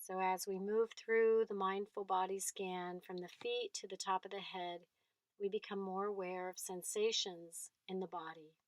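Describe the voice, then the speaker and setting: A woman leading a guided body scan meditation, speaking in gentle short phrases with long pauses between them. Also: medium pitch, fairly even intonation, dry recording, poor audio.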